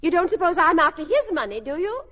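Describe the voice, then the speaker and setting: A woman's voice: very high-pitched, big pitch swings, fast talker, fairly bright tone, a little hiss or hum, exaggerated voice. A woman playing a fluttery, girlish older mother in a radio sitcom, hesitant and dithering as she speaks. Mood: coy, dithering. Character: fluttery, girlish older mother giddy over her new fiancé. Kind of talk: old-time radio situation comedy acting. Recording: dull old radio recording, studio audience.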